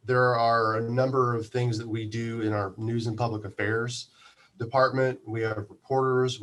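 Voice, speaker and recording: A man giving a casual interview as a guest from a community radio station, speaking haltingly as he thinks aloud. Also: lowish voice.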